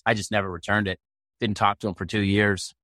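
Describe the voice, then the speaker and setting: A man's voice: on the low side, flat delivery, a little fast, pushed and bright, dry recording, clean studio sound. A middle-aged American man from Maine telling his story casually as an interview guest, in a blunt, colloquial way. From Maine, United States. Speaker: interview guest, tech entrepreneur.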